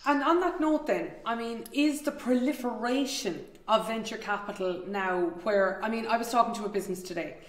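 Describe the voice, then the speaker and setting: A woman's voice: fairly high pitch, normal speed, hardly any pauses, a little hiss or hum. A woman, hosting an interview over a video call and rambling through long, restarting questions. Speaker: interview show host. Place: home room, indoors. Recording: roomy video call audio.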